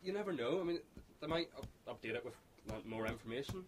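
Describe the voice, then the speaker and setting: Man acting as nervous, stammering, lively young survivor. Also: medium pitch, fast, very noisy, lightly acted. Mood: tentative. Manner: hesitant, stammering repeats. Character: Liam, nervous daydreaming young male survivor. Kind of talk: amateur radio drama acting.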